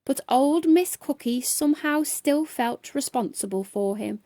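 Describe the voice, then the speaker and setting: A woman reads a children's storybook aloud, expressively and with dramatic, deliberate emphasis. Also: high-pitched, lively intonation, mellow, no echo. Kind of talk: expressive bedtime story narration.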